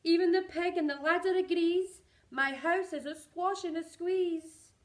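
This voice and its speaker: Woman acting an exasperated little old lady, high-pitched. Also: measured pace. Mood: frantic despair. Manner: dramatic, wailing complaint in rhyme.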